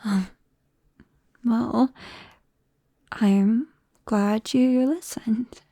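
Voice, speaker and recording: A British woman playing a nervous, tender vampire best friend in an ASMR roleplay, speaking softly and haltingly with drops into whispers. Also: fairly high pitch, fairly even intonation, dry recording, clean studio sound, exaggerated voice. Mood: tender, sincere. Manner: hesitant, halting, breaking into whispers. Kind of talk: ASMR roleplay, soft intimate acting. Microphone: close microphone, dry.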